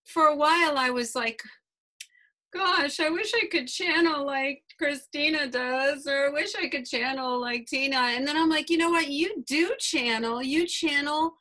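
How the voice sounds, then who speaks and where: A woman hosting a casual chat over a video call, halting with fillers. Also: high-pitched, bright, forceful tone. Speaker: host.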